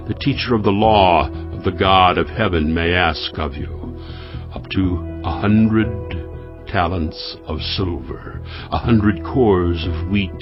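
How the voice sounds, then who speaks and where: Muffled recording with music, male actor as regal king, low voice, formal, stately, audio scripture drama. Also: natural voice. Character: regal Persian king dictating a formal decree.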